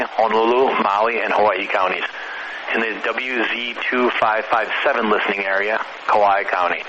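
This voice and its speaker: A man reading a scripted test announcement as a weather radio announcer. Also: lowish voice. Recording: dull, noisy lo-fi radio broadcast.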